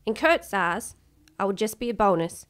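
A woman's voice: medium pitch, expressive, normal speed, natural voice. An actress playing a firm, determined woman who owns a ranch in a western radio drama. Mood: worried. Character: tough female ranch owner.

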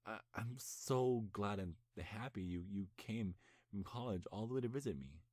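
A young man playing a nervous, lovestruck best friend in an intimate ASMR roleplay, speaking earnestly with a halting stammer. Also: on the low side, expressive, darkish tone, dry recording, studio quality. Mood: excited, shy.

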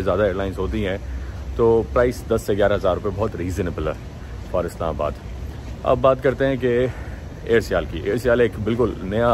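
A young man from Pakistan, giving a relaxed travel review to a phone held at arm's length and mixing English phrases into his talk. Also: lowish voice. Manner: relaxed, talks to camera, mixes English phrases in. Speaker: travel vlogger. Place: airport terminal and plane cabin, indoors. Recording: noisy airport and cabin background.

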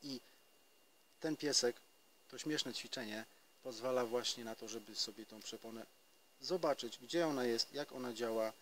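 A man in his thirties explains a breathing exercise for trumpet players in an unhurried, searching way, often stopping to rephrase. His voice sits in an ordinary middle range. Faint insects and open-air ambience surround him, picked up by a camera a few steps away. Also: medium pitch, fairly bright tone, a little hiss or hum, rough recording. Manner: explanatory, with hesitations and restarts. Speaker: trumpet teacher. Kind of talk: casual spoken lesson. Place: outdoors in a forest.

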